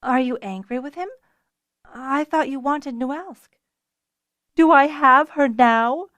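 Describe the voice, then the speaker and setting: A woman's voice: sits high, slow, no room sound, voice pushed up. A woman voicing an intense, grieving young woman with a demanding, emotional manner, in an audiobook story. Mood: anguished.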